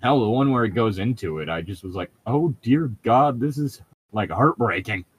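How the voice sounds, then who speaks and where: A man's voice: on the low side, lively intonation, normal speed, slightly rough. A man chatting casually as a co-host, reading a book aloud and mocking it in a sarcastic, sweary, slangy way. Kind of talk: casual comedic chat and mock read-aloud.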